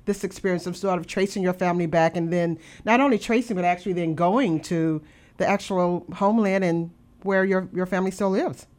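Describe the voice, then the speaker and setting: A woman's voice: lowish voice, a little fast, darkish tone. A woman hosting a casual interview, asking reflective questions and offering supportive remarks. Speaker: host.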